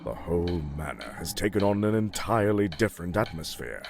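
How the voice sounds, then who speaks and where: An actor playing an anxious older grandfather in a ghost story game, speaking gravely and earnestly with formal, theatrical phrasing. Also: low-pitched, sing-song, brisk, exaggerated voice. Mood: worried, fearful. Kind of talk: dramatic game narration and dialogue. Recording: music underneath, sometimes echoey.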